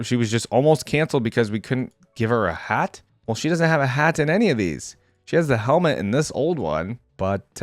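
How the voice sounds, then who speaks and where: A man's voice: mid-range voice, no echo, clean studio sound. A man reading game lore aloud for a reaction video, casually breaking off to think aloud in conversational asides. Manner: conversational, thinks aloud between read passages.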